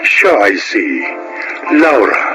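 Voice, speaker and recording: A man's voice: on the low side, expressive, takes it slowly, low-quality recording. A man announcing scripted credits and story introductions for a radio drama. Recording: music behind the voice.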